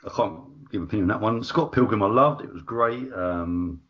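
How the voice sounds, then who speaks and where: A man's voice: lowish voice, fairly quick. Guy co-hosting a podcast, gushing and rambling, muffled lo-fi audio. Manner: enthusiastic, rambling. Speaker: podcast co-host. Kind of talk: casual podcast chat.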